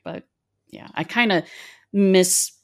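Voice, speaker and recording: A woman's voice: medium pitch, slow pace. A middle-aged woman from West Virginia, telling a meandering, self-deprecating story in a casual podcast chat.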